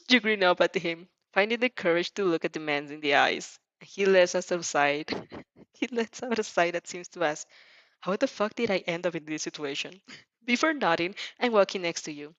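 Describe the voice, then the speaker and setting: A woman reading fan fiction aloud in an expressive amateur style, giggly and stumbling over the text. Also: fairly low pitch, moderate pace, no room sound, lo-fi sound.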